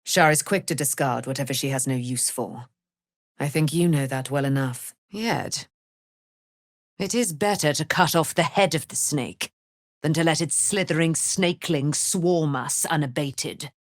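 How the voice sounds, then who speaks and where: An actress playing a proud, wrathful immortal warrior, daughter of a moon goddess, declaiming in grand archaic speech for a fantasy game. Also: female voice, low-pitched, a little slow, no room sound, clean studio sound. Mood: solemn, grave. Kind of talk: theatrical fantasy game voice acting.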